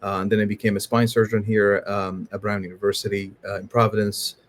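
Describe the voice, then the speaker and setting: Syrian-born man, low voice, flat delivery, measured. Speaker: spine surgeon, guest. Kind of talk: casual AMA self-introduction.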